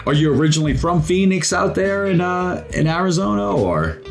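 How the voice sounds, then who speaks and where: A middle-aged American man hosting a casual interview, with a halting, rambling, folksy way of talking. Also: sits high, big pitch swings, creaky, soft background music. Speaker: talk show host.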